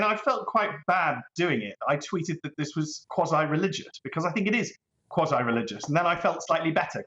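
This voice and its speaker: A British man speaks as an opinionated interview guest, articulate and emphatic, often repeating a phrase to drive it home. His voice sits a little high for a man. The sound is echoey and slightly dull, as if from a live room. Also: a bit bright. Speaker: barrister interviewed as a guest commentator. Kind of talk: opinionated current-affairs interview.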